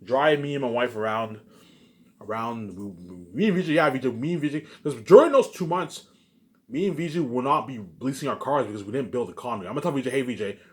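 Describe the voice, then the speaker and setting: A man's voice: medium pitch, expressive, fast. A man talking in a casual, rambling monologue, circling back over the same phrases with repeated filler tags and emphatic bursts that trail off. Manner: repetitive, restarts phrases, frequent filler catchphrases.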